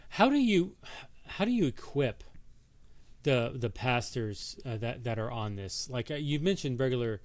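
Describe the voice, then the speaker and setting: A man hosting a podcast, asking casual interview questions in a halting way and rephrasing as he goes. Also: medium pitch, big pitch swings, dry recording.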